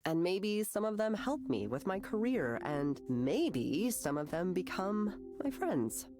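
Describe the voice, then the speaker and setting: A nervous, self-deprecating young woman in a visual novel. Her voice sits low for a woman and she rambles hesitantly, unsure of herself. Music plays clearly behind her. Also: sing-song, takes it slowly. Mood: wistful, hopeful. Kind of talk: naturalistic visual novel voice acting.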